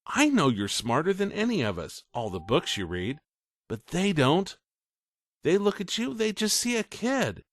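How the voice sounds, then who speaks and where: A man's voice: high voice, huge pitch swings, measured pace, no echo, pitched above the performer's own voice, full character voice. A man voicing a twelve-year-old boy who earnestly coaxes his little brother. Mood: coaxing. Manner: coaxing, earnest.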